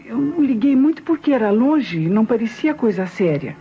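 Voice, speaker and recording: A Brazilian actress playing a deferential, loyal housemaid in a radio drama, giving a respectful and earnest account. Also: female voice, a little high, big pitch swings, fairly quick. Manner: respectful, earnest, narrating step by step. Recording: dull old radio recording, music behind.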